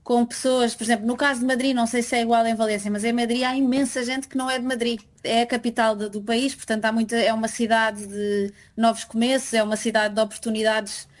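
Woman, interview guest, reflective, slightly high voice. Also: little pitch movement, fast, few pauses. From Portugal. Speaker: guest, emigrant living in Madrid. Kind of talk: conversational interview answers.

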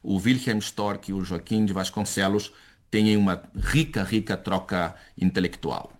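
An older man, an academic, answering in a conversational interview, halting and repeating words as he searches for them. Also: deep voice, steady tone, a little mellow. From Chile-born son of Brazilian exiles, later Spain. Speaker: academic, university professor, interview guest.

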